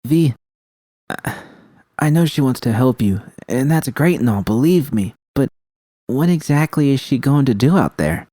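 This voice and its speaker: A man's voice: medium pitch, lively intonation, moderate pace, warm tone, no room sound, clean studio sound, voice pushed up, close to an everyday voice. A man voicing a tender, distraught young woman who speaks hesitantly and pleadingly in a fan fiction story. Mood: doubtful. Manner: pleading, hesitant, swearing emotionally. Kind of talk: audio fan fiction dialogue.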